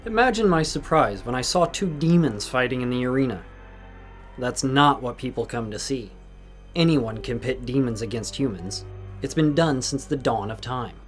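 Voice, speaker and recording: A man's voice: medium pitch, lively intonation, close to an everyday voice. Stern, imperious overlord played by a man. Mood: stern, displeased. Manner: deliberate, imperious, reproving. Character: stern, displeased, domineering master with power over the arena. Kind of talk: audio drama acting. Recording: music bed under the voice.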